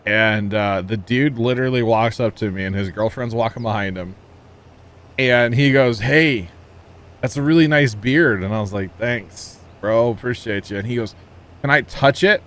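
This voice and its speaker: A man's voice: mid-range voice, moderate pace, a bit bright. A man chatting casually with his stream viewers while he plays, telling rambling jokey stories and chuckling often. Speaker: livestream gamer. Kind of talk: casual livestream chat with viewers.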